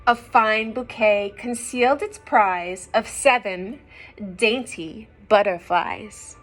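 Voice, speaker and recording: A woman reading a children's storybook aloud expressively, pausing to explain simply and ask young listeners questions. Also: mid-range voice, a bit bright, faint music behind.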